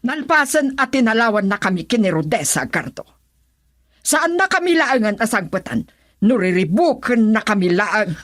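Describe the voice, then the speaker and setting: A woman's voice: mid-range voice, sing-song, normal speed, fairly bright tone, dry recording, clean studio sound. An actress with a Filipino accent playing a wronged, earnest wife and mother in a radio drama. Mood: hurt, pleading. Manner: earnest, emotional.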